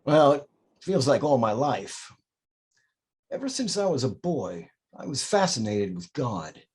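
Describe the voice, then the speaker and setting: A man's voice: medium pitch, very animated, measured pace, darkish tone, husky. A man playing a despairing priest in his forties at a screenplay table read, speaking hesitantly. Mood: resigned. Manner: hesitant, stammering. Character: despairing forty-something priest, hesitant, quietly guilt-ridden.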